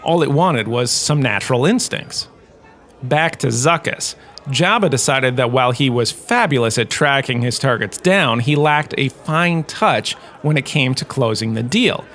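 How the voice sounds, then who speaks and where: A man's voice: mid-range voice, lively intonation, unhurried, a bit bright. A man playing a self-important washed-up lecturer in a space cantina, telling lore with wry, theatrical emphasis in a conversational way. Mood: wry, storytelling. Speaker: host, lecturer. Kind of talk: scripted comedic lore lecture, narration.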